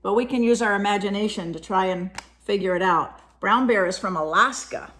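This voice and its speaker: A woman reading a picture book aloud as a storyteller, animated and pausing to explain things and put questions to young listeners. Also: mid-range voice. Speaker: storyteller, teacher reading to children.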